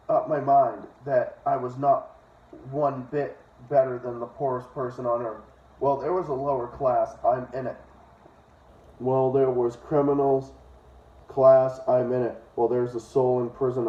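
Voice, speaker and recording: A man's voice: medium pitch, natural voice. A man reading the part of a defiant, idealistic socialist orator from a history comic, in an earnest, declarative way. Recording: echoey room, distant dull microphone.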